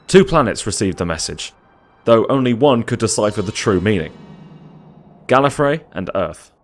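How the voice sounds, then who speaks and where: A man's voice: fairly low pitch, big pitch swings, moderate pace, faint music behind. A man narrating a scripted audio drama in a dramatic storytelling style.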